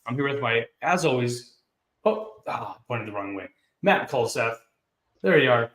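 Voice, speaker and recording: A man's voice: mid-range voice, animated, a little slow, a bit bright. Man in his thirties, host, jokey and upbeat, video call, roomy echo. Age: 30s. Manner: jokey, upbeat, self-deprecating. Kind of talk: casual video-call chat.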